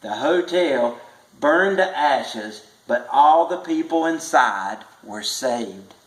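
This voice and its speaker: A man reading a tall tale aloud as a storybook narrator, measured and with stretched emphasis. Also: mid-range voice, expressive. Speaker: storybook reader. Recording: echoey room.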